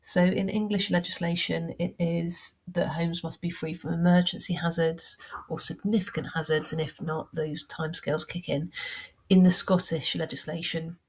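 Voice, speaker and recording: A woman with a heavy cold and a croaky voice, explaining housing law in a measured, practical way as a surveyor. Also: lowish voice, level tone, poor audio. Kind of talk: informal explainer talk.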